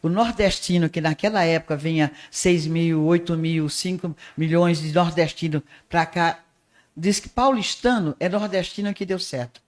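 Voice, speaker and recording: An older woman from Northeast Brazil with a softened Northeastern accent, telling her life story as an interview guest in an unhurried way. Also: on the low side. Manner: unhurried storyteller with small restarts. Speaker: guest, art teacher and radio presenter.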